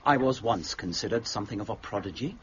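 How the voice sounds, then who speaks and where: An actor playing a courteous, easily taken-aback young gentleman in a period radio drama, speaking formally. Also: on the low side, animated, quick pace, muffled recording, a little hiss or hum. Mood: earnest. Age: young adult. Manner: courteous, formal, mildly indignant.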